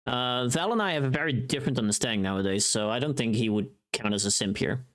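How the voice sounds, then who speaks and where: A woman's voice: a bass voice, fast. A woman livestreamer chatting casually in a playful, teasing, informal way, often thinking aloud and trailing off.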